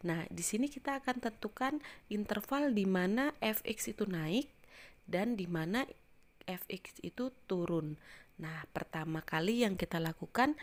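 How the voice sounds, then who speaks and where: A woman math teacher explaining step by step in an informal tutorial style. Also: mid-range voice.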